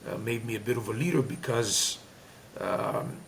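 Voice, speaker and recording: A man's voice: mid-range voice, normal speed, gravelly, fairly bright tone, a little hiss or hum. A middle-aged man with a Greek accent, talking candidly and emphatically in an interview, with many fillers and restarts. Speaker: guest, former player and coach.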